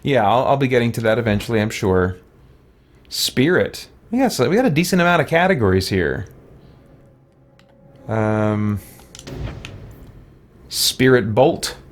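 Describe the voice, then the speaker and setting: A man's voice: medium pitch, sing-song, a little slow, long pauses. Man thinking aloud through a video game. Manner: mutters to himself, reading game text aloud between musings. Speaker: let's play host. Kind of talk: casual gaming commentary, thinking aloud.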